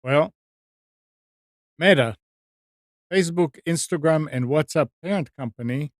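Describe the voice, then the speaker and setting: A man hosting a casual tech news discussion, partly reading out items in a halting way with repeated words. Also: a little high, no room sound, studio quality. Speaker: talk show host.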